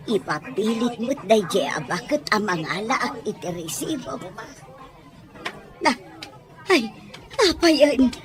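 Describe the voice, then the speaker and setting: A woman's voice: high-pitched, noisy background. A Filipino woman playing a kindly, humble, motherly servant, emotive and deferential, in a melodramatic audio drama. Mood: flustered.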